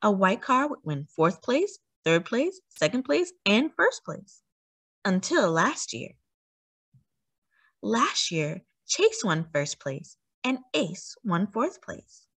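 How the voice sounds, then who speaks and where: A woman reading a children's book aloud as a storyteller, with expressive, emphatic delivery for young listeners. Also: medium pitch, slow, dry recording.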